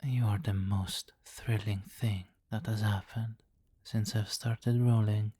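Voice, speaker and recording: A man playing a possessive, teasing vampire king in an intimate ASMR roleplay, commanding and breathy, often slipping into whispers on a close mic. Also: lowish voice, flat delivery, slow pace, mellow. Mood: teasing, amused. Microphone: close microphone, dry.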